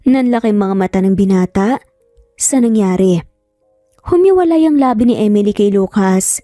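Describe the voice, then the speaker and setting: A woman's voice: mid-range voice, dark tone, no room sound, studio quality. A woman with a Filipino accent reading a scripted, dramatic romance story as narrator.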